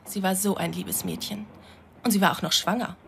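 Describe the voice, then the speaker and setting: An actress playing a chatty, vengeful shop clerk who gossips indignantly in a radio drama. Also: female voice, mid-range voice, fairly even intonation, a little fast, creaky, a bit bright, a little hiss or hum. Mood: indignant, gossipy.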